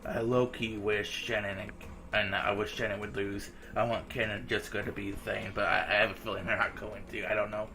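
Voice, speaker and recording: A man's voice: lowish voice, level tone, brisk, pushed and bright. A man reading visual novel dialogue aloud as a game streamer, in a casual, informal manner. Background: visual novel game music.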